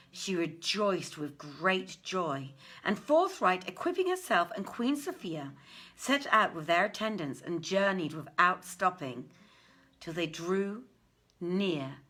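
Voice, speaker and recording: A woman reading an old literary tale aloud in a theatrical, measured and deliberate manner. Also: fairly low pitch, sharp tone, husky. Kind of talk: dramatic literary story reading.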